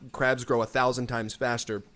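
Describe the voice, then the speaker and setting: A man playing an improvised tabletop role-playing game, thinking aloud in a halting, casual way. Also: mid-range voice, level tone, a bit bright. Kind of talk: improvised comic role-play chat.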